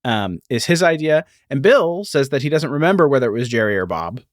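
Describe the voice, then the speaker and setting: Male history podcast host, mid-pitched, explanatory and conversational, given to asides, dry sound. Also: sing-song, brisk, no echo.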